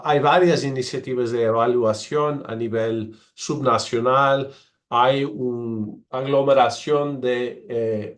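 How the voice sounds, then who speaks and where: A man whose first language is Portuguese, presenting in a virtual panel over a video call, halting with many fillers and restarts. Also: medium pitch. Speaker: researcher and panelist.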